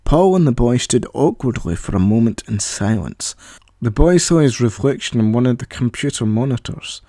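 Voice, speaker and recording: Guy narrating his audio story, soft mellow voice, really dry sound. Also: medium pitch, expressive, moderate pace, no echo.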